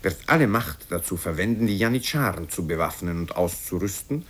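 Man acting a deep, husky, pompous politician. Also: lively intonation, brisk, fairly bright tone, exaggerated voice. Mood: accusing, righteous. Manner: declamatory, emphatic, self-righteous. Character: pompous male politician hurling accusations at his rival.

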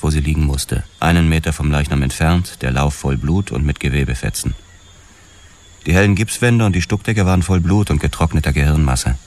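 A man's voice: a bass voice, darkish tone. An actor playing a world-weary, haunted police investigator who narrates his past in a noir radio drama.

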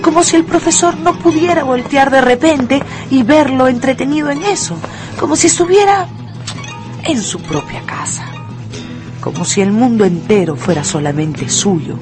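A woman narrator telling a story for a radio drama in a measured, expressive way. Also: high voice, music in the background.

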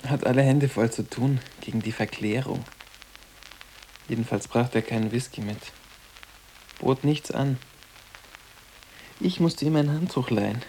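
A man plays a blasé teenage boarding-school boy in a literary radio play. He is terse and drily sarcastic, with a mid-pitched voice over a noisy background. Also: a little slow, pauses often, dark tone, lightly acted. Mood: blasé sarcasm. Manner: dry, terse, offhand. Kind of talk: literary German radio drama dialogue.

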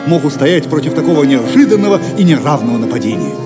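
A man's voice: on the high side, big pitch swings, fast. A man narrating an audio play as a dramatic, theatrical storyteller with declamatory delivery. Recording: music behind the voice.

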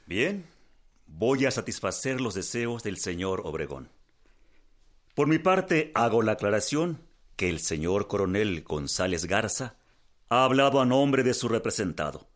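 A dignified revolutionary general, speaking in measured, formal tones. His voice is lowish and rises and falls widely. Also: very animated.

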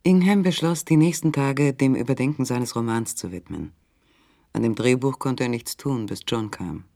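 An actor narrating a literary radio play in a measured, matter-of-fact manner. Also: male voice, mid-range voice, vocal fry, warm tone, no echo, lightly acted. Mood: measured, detached.

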